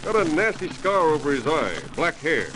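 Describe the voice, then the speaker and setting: An actor playing an obliging radio operator from a lonely airfield, folksy and deferential, in an old-time radio drama. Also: male voice, fairly high pitch, very animated, moderate pace. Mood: reporting, obliging. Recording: slightly noisy old radio broadcast.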